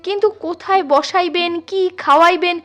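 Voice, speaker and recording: A woman reading a classic short story as a storyteller, in an expressive, measured and dramatic scripted narration. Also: extremely high pitch, soft background music. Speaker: storyteller reading literary fiction.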